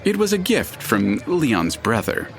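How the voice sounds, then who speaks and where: A man acting in an audio drama as a detached, formal narrator who speaks deliberately and matter-of-factly. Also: medium pitch, wildly swooping pitch, gravelly, a little hiss or hum, full character voice. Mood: cool, formal.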